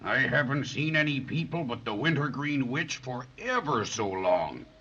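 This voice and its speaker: An actor playing a kindly, gentle giant with weak eyes in an old radio drama, folksy and slow. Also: male voice, medium pitch, sing-song, breathy edge, heavily acted. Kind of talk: 1930s radio drama acting. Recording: dull old radio recording.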